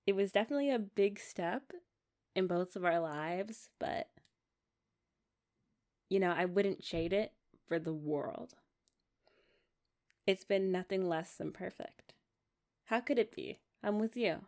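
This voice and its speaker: A young woman playing a loving, sentimental young wife in a romantic ASMR roleplay, talking tenderly and haltingly as if to her partner. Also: medium pitch, darkish tone. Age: young adult.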